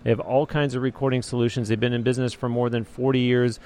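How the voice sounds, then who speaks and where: A man with a deep baritone voice, hosting a podcast interview in a measured, polished way. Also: little pitch movement, a little mellow. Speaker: podcast host. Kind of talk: interview and host-read ad. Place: remote location. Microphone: handheld recorder with external mics.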